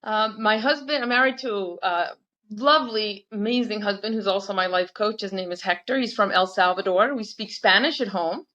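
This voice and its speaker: A woman in her sixties from the United States, a podcast guest speaking in a thoughtful, measured way with small hesitations. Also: medium pitch, sharp tone. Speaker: guest, nurse practitioner. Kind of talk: conversational podcast interview. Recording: dull lo-fi remote audio.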